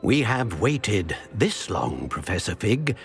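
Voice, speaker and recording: An older actor playing a solemn ancient wizard in a video game, speaking in a formal, measured, grave manner. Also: male voice, fairly low pitch, sing-song, gravelly, full character voice. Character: solemn ancient wizard, keeper of secret magical knowledge. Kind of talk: video game cutscene acting. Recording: music behind.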